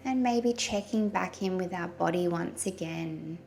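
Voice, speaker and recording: A woman leading a guided meditation in a gentle, soothing manner with long pauses between phrases. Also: fairly low pitch, creaky. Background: soft background music.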